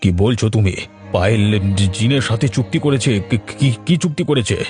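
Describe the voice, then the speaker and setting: A man's voice: medium pitch, expressive, fairly quick, a little mellow, soft background music, close to an everyday voice. A man voicing a grave, authoritative village holy man in a cartoon, earnest and stern. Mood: urgent, stern. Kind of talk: Bengali cartoon voice acting.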